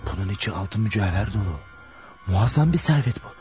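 A man's voice: fairly low pitch, lively intonation, a little fast, darkish tone, faint music behind, lightly acted. An actor playing a nervous, frightened, stammering male driver in a radio play, exclaiming and muttering to himself. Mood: amazed. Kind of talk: Turkish radio theatre acting.

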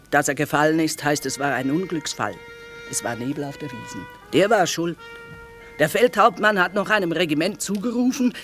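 An actress in a classic radio play, playing a shrewd, tough, down-to-earth war trader with a dry, matter-of-fact delivery. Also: female voice, low voice. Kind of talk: classic German radio drama acting.

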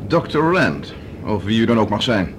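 An actor a radio play playing a resolute, practical professor on the run in space. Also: male voice, fairly low pitch, big pitch swings, moderate pace. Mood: dry defiance. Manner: urgent, practical, drily defiant. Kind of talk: Dutch radio drama acting. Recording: noisy old radio drama recording.

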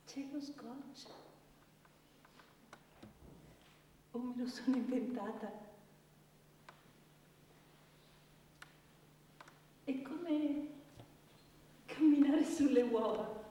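Anxious self-doubting woman, theatre monologue, slow and halting, big echoey hall, far from mic. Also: high voice, mellow, a little hiss or hum. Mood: bewildered, halting. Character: self-doubting woman trapped with an abusive partner, anxious, pleading.

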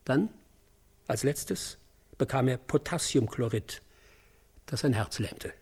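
A man's voice: mid-range voice, lively intonation, takes it slowly, darkish tone, husky, exaggerated voice. An actor playing a hard-nosed, precise male newspaper reporter giving an emphatic, clinical witness statement in a radio play. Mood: hard-nosed, factual.

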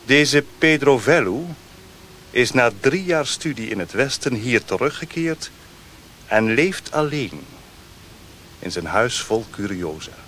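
Stern dignitary, faintly noisy recording. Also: male voice, medium pitch, lively intonation, slow pace, long pauses, fairly bright tone. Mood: formal, probing. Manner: formal, declamatory. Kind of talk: radio play acting. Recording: noisy old radio play recording.

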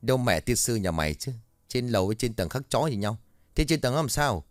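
A man's voice: fairly low pitch, lively intonation, quick pace, no room sound, clean studio sound, close to an everyday voice. A man voicing an impatient, swearing older night guard. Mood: exasperated. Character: gruff cursing older male night guard.

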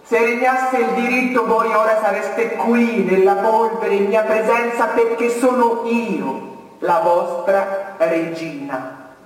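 A man's voice: sits high, slow pace. A man playing a proud, defiant captive queen claiming the throne, declaiming formally and haughtily in a stage drama. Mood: haughty, defiant. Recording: cavernous reverb, far from mic.

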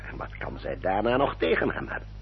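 A man playing an older, formal Victorian gentleman adviser in a Dutch radio drama, rough-voiced, deliberate and emphatic. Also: fairly low pitch, huge pitch swings, a little hiss or hum, heavily acted. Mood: earnest, persuasive. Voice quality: husky, rough. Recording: dull, muffled old radio recording.

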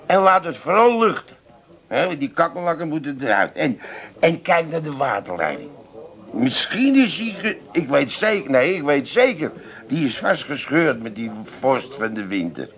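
An actor playing a jovial, crafty drinking pal, chatty and casually bossy, in a comedic radio play. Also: male voice, a little high, big pitch swings, moderate pace, fairly bright tone. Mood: breezily instructing. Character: jovial, crafty pub mate of the schemer.

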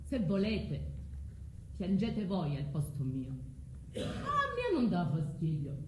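A woman's voice: lowish voice, wildly swooping pitch, slow pace, exaggerated voice. An actress with a southern Italian accent playing a bitter, vengeful abandoned foreign woman in a theatrical stage monologue. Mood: sardonic. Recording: echoey room, faint background noise.

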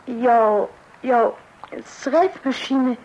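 A woman's voice: a little high, very slow, a little mellow, lo-fi sound. An actress playing a long-suffering wife and mother in a Dutch radio play, hesitant and placating. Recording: hissy old radio recording.

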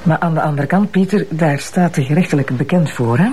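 A man's voice: on the high side, a little fast, darkish tone, slight background noise, close to an everyday voice. A man with a Flemish accent playing a chatty, squeamish police colleague in a naturalistic crime drama.

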